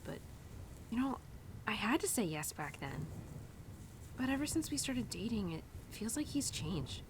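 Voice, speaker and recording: A young cowgirl, played by a woman, talks intimately in an audio roleplay, chatty and a little hesitant. Her mid-pitched voice swings expressively, showing an independent streak and inner conflict. Faint noise and ambience sit behind her. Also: lightly acted. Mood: frustrated, hurt. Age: young adult. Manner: chatty, hesitant, informal, peppered with "like" and "you know".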